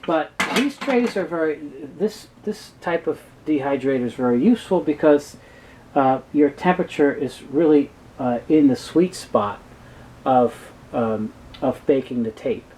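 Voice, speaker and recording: A man giving a halting, explanatory technical talk as an expert guest in an interview. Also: fairly high pitch, warm tone, rough recording. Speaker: guest expert on reel-to-reel tape.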